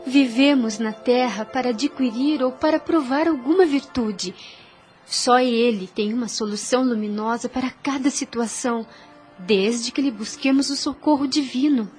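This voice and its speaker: An actress playing a gentle, devout young servant woman in an audio soap opera, speaking earnestly and soothingly in formal phrases with a Brazilian accent. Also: fairly high pitch, moderate pace, soft background music, natural voice. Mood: earnest, consoling. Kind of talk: radio soap opera acting.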